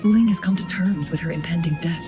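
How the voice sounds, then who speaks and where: A woman playing a composed, perceptive strategist in a video game, speaking in a measured, analytical way. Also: on the low side, music in the background, close to an everyday voice. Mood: calm, pensive. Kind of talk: scripted video game dialogue.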